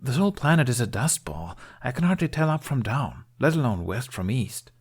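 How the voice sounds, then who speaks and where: A man's voice: mid-range voice, sing-song, fairly quick, husky, voice pushed up. A man voicing a skeptical, complaining young male private in an audiobook. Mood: scoffing. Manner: incredulous, complaining. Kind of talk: audiobook character dialogue.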